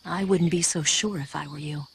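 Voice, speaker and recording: A composed female spy from a video game. Her voice is low, and she speaks in a measured, reassuring way. A faint bed of insect noise runs behind her. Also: fairly even intonation. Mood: calm, cautionary. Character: composed, encouraging female spy briefing an ally. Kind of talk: dramatic video game voice acting.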